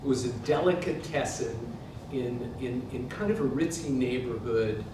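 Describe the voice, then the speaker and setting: A man's voice: moderate pace, darkish tone. Older American man in a very echoey room. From Pittsburgh, United States. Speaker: author reading from his book. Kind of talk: literary reading to a live audience. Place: indoor museum event space. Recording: very echoey hall, distant mic, noisy rumble.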